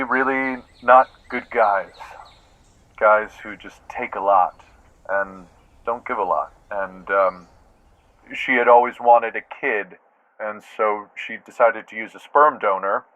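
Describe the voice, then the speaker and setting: An out-of-work actor in a naturalistic audio comedy. He is earnest and over-invested, with a mid-pitched voice. He talks in excitable, halting bursts and treats his craft with great seriousness. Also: dry recording, muffled recording. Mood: sincere, reflective. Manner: excitable, halting, self-serious about acting.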